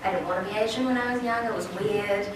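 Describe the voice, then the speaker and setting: A chatty woman in her twenties with a New Zealand accent, telling a personal story at a forum. She sounds far from the mic, in a big hall full of echo. Steady background noise runs under her voice. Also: mid-range voice, quick pace. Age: 20s. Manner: chatty, lively storytelling with quoted asides. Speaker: panel speaker. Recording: echoey hall from far off, noisy.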